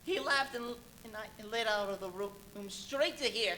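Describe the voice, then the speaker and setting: A woman's voice: on the high side, moderate pace, natural voice. A woman playing a rattled, earnest villager in a live radio play, telling an uncanny tale with dramatic emphasis. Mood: hushed, uneasy. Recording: faintly noisy live stage recording.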